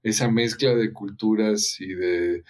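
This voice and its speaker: Invitado ecuatoriano de voz grave. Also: male voice, on the low side, steady tone, a little mellow. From Ecuador. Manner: reflective, measured storytelling. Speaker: podcast guest. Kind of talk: conversational interview.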